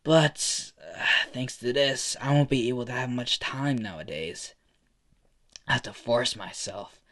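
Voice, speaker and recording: A man's voice: mid-range voice, a little slow, fairly bright tone, close to an everyday voice. A man playing a kindly, protective but weary grown hero, with a casual, reassuring and hesitant manner, in an amateur story retelling.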